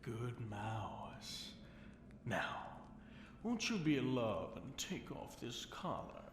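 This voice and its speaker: A man performs a fallen dragon king in an ASMR fantasy roleplay, seductive and menacing. He speaks very slowly, drawing out his words and dipping into whispers, with a teasing, possessive air of command. The voice rings in a roomy echo over faint noise. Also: medium pitch, very animated. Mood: possessive, dominant, then coaxing, seductive.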